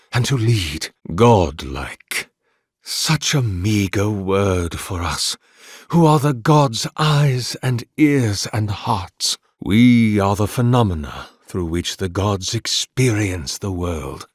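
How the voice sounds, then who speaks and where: A man's voice: fairly low pitch, no room sound, clean studio sound, heavily acted. A man playing a devout, grandiose male oracle in a video game, speaking in a solemn, florid, sermonizing way as a zealous, mournful servant of a god. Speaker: video game character voice actor. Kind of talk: theatrical scripted game dialogue.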